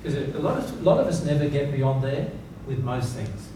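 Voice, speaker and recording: A man's voice: medium pitch, normal speed. Man, informal spiritual teaching, emphatic repetition, rhetorical questions, heavy room echo, background noise. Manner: emphatic, repeats key words, checks for understanding. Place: reverberant seminar room with audience. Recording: very echoey, noisy room, distant mic.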